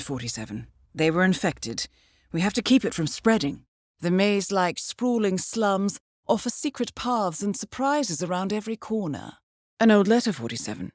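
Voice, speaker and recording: An actress playing a composed, dryly witty British intelligence handler who briefs an assassin in a measured, precise, formal way for a video game. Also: female voice, mid-range voice, no echo, studio quality. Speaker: mission handler.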